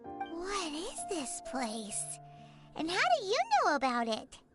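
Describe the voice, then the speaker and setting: Brief: a tiny excitable female game companion, very high and sing-song, blunt. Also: heavily acted. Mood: chatty. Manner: bubbly, blunt, quick to complain. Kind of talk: game cutscene voice acting. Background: game music.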